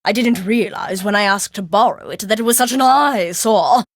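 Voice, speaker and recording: An actress playing a snobbish, imperious society hostess who orders people around. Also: female voice, medium pitch, normal speed, no echo, lightly acted. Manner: imperious, condescending.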